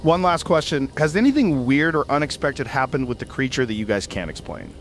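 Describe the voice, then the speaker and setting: A man's voice: mid-range voice, animated, a little fast, slight background noise, natural voice. A man playing a curious, supportive podcast host with a casual, encouraging manner in an audio drama.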